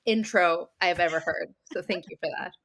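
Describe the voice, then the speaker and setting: A woman's voice: fairly low pitch, normal speed. A young adult woman from Mexico, a business founder chatting enthusiastically in an interview, often using fillers like "um" and "like". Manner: enthusiastic, warm gratitude, fillers like "um" and "like". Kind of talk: casual interview chat.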